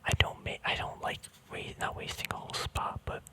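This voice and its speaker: A man whispers throughout in a breathy, voiceless hush. He talks casually in an ASMR style, murmuring his commentary as if thinking aloud, with a hesitant, unscripted flow. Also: a little fast. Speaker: ASMR video creator. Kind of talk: ASMR, casual rambling commentary on a card deck.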